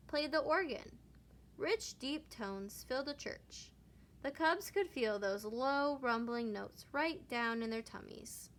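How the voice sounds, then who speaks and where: A woman with a high voice narrates a children's storybook. She reads deliberately and draws out certain words for emphasis, as for young listeners. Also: fairly bright tone. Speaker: storybook reader. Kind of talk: children's read-aloud narration.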